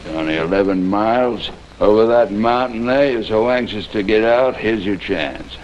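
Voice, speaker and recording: An actor playing a bitter, ruthless crippled army captain in a 1950s TV western, gravelly, sardonic and commanding. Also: male voice, on the low side, moderate pace, dull audio, a little hiss or hum, close to an everyday voice. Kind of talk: 1950s television western acting.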